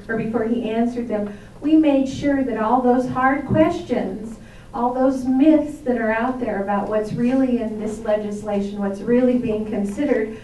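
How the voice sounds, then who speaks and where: A woman's voice: a little high, dark tone, a little hiss or hum. A woman from a senator's staff giving a chatty, upbeat town hall update with many ums. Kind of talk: public speech at a town hall. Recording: echoey hall recorded from far off.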